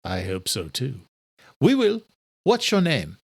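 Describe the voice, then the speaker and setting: A man voicing a calm, protective lawman with a secretive side in an audiobook thriller. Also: mid-range voice, takes it slowly, gravelly, slightly rough, dry recording, studio quality, voice pushed up, full character voice. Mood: brisk, polite.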